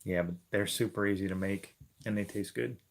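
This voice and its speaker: A man's voice: fairly low pitch, fairly even intonation, brisk, vocal fry. A man co-hosting a livestream, chatting in an easygoing way with dry jokes. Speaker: co-host of a livestream. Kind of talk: casual livestream chat.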